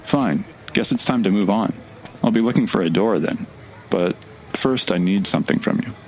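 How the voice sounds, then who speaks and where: Actor as sardonic male traveler, lowish pitch, blunt, casual, audio drama, faint outdoor background noise. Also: moderate pace, darkish tone, lightly acted. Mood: wry, resigned.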